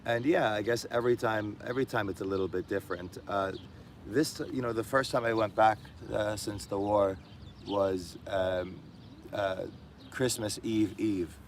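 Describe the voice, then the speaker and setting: A man's voice: lowish voice, steady tone, moderate pace, a little hiss or hum. A man guest reflecting in casual conversation, thoughtful and hesitant, with many fillers. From diaspora Armenian. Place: indoors in Armenia.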